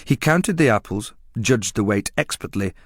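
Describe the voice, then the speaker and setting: A man reading a story aloud in a measured, descriptive narrating style. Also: on the low side, lively intonation.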